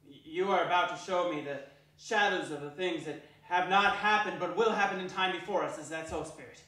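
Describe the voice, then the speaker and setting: Man as old miser, high voice, fearful, pleading, emphatic theatrical delivery, very echoey. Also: fairly quick, bright, forceful tone. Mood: fearful, earnest. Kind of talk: theatrical dramatic reading. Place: theatre hall stage reading. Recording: echoey hall, distant microphone.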